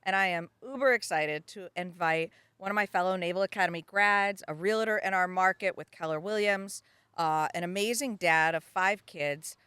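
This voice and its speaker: A woman's voice: on the low side, moderate pace, pushed and bright, clean tone, no echo. A middle-aged woman hosting a podcast interview, upbeat and enthusiastic, often answering "yeah". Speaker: podcast host. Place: outdoors in a backyard.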